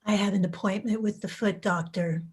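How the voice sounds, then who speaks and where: A woman in a staged reading, playing a polite, evasive 1960s suburban housewife in a measured, deliberate way. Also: medium pitch, steady tone, breathy edge, natural voice. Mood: hedging. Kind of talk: staged reading of a satirical play. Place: video call.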